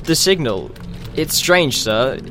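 A man's voice: medium pitch, big pitch swings, takes it slowly, bright, forceful tone, soft background music, full character voice. A man playing a nervous, deferential watch officer in an audio drama, flustered and hesitant. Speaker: amateur audio drama actor.

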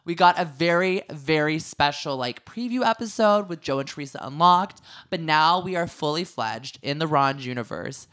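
Sassy campy male podcast host, a bit hoarse. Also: on the high side, lively intonation, normal speed, hardly any pauses, a bit bright. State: slightly hoarse. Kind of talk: casual chat.

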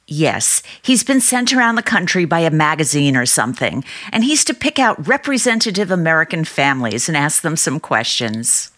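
A woman's voice: sits low, very animated, normal speed, hardly any pauses, fairly bright tone, exaggerated voice. An actress playing a doting, trusting middle-aged sister and small-town mother in an old-time radio drama, chatty and earnest.

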